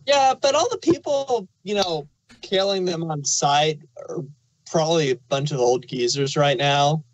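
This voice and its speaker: Someone chatting on a review show, middle pitch, super dry audio. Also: lively intonation, a little slow, no room sound, rough recording. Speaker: review podcast panelist. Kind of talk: casual chat.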